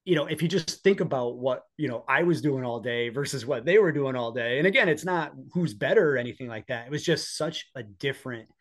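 Male, 40s, American accent, mid-pitched voice; conversational interview guest sharing personal stories. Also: animated, fairly quick, hardly any pauses, a bit bright. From northeastern United States. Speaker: podcast guest, police officer and former EMT.

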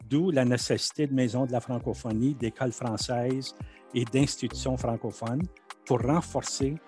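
A man's voice: medium pitch, fairly even intonation, moderate pace, darkish tone, faint music behind. A man from Manitoba with a Canadian French accent, answering interview questions in a frank, conversational, emphatic way.

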